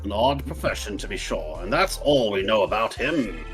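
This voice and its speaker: A man's voice: fairly low pitch, big pitch swings, normal speed, fairly bright tone, soft background music, voice pushed down. Man doing a formal, puzzled game judge. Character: stately, puzzled male courtroom judge in a game.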